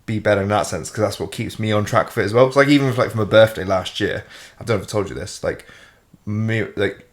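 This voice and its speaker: A man's voice: fairly low pitch, fast. A man, chatting casually as host, rambling with lots of fillers and the odd swear word. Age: 20s. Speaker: fitness coach and host. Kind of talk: casual chat.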